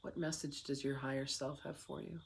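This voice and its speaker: A guided meditation leader speaks slowly and soothingly, leaving long pauses between phrases. The voice sits at a middle pitch. Clear background noise with chirping insects runs behind it. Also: level tone.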